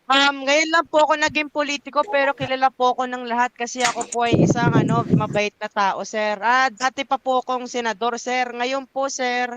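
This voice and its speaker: A guest with a Filipino accent giving improvised comic interview answers, halting and deferential. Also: high voice, level tone, crowd noise. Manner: halting, deferential, many sirs. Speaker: show guest. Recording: very noisy amateur online mic with clicks.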